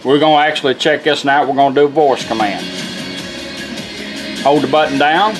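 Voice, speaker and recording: A man's voice: mid-range voice, normal speed, over music. A middle-aged man giving a casual hands-on product demonstration, explaining step by step in a folksy, informal way. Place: indoors at a workbench.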